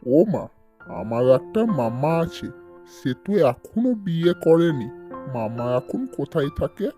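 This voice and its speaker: An eager young village boy from a cartoon , acted by an adult, with a soft, mellow voice. He is inquisitive and pestering, always keen on a feast. Light music plays underneath. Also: medium pitch, animated, unhurried, natural voice. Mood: curious. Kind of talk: cartoon dialogue acting.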